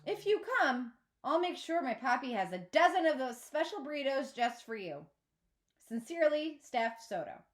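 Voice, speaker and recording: A woman voicing an earnest, anxious seventh-grade girl in an expressive storybook read-aloud. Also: high-pitched, moderate pace, pitched above the performer's own voice. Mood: earnest, hopeful.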